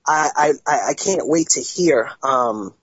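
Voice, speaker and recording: Husky-voiced man hosting an internet talk show. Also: a little high, dry recording. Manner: halting, many restarts and fillers. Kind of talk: casual podcast chat. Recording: dull lo-fi sound, dry.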